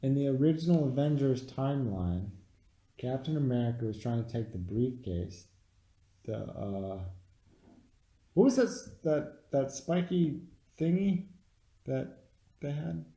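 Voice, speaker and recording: Mellow-voiced man in an echoey room. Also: medium pitch, a little slow, long pauses. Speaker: podcast co-host. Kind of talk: casual movie review chat.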